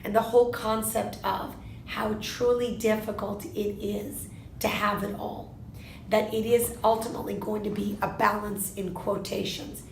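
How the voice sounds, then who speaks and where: A woman in her fifties, born in Argentina, answering interview questions in a measured way, often posing a question to herself and then answering it. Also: mid-range voice, level tone, echoey room, slight background noise, rough recording. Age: 50s. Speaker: interviewee, lawyer.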